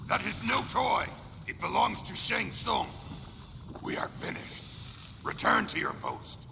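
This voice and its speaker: Gravelly, growling mutant guard. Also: male voice, sits high, animated, measured pace, noisy background, full character voice. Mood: barking. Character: brutish fanged male mutant warrior guard, surly and menacing. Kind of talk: video game cutscene acting. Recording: long reverb over loud music.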